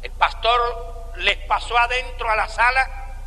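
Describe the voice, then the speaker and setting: A man with a Cuban accent giving a slow, deliberate sermon testimony with dramatic pauses. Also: very high voice, sharp tone, long reverb tail. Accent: Cuban Spanish. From Cuba.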